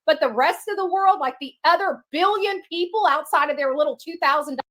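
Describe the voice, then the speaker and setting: A woman's voice: high voice, fairly quick, fairly bright tone. A woman chatting casually on a livestream in a brash, mocking way.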